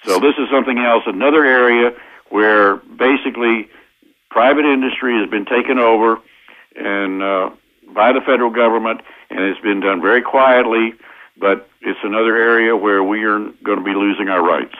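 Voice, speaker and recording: American man on a tinny phone line, low-pitched. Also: moderate pace. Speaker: interview guest, constitutional lawyer. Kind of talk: interview answers, explanatory political talk. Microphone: telephone.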